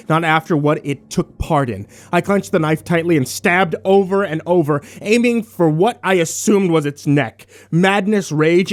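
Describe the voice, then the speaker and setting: A man's voice: fairly high pitch, a little mellow, lightly acted. A man playing a shaken, bitter survivor who tells his own story in a grim horror audio drama. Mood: enraged. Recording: music bed under the voice.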